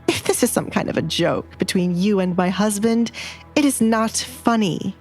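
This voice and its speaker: A woman voicing an indignant noblewoman mother in an audio romance drama, outwardly calm and controlled but disapproving. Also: mid-range voice, moderate pace, soft background music, natural voice. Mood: controlled indignation.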